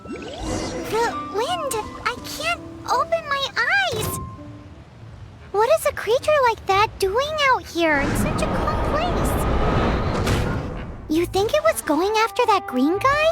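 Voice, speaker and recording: A woman voicing a tiny, excitable female fairy companion in a video game, with a squeaky, bubbly and insistent way of talking. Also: slow, long pauses, over loud music, full character voice. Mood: startled.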